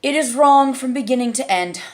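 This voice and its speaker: A woman voicing a slow, curt and contrary caterpillar in a storybook reading. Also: mid-range voice, animated.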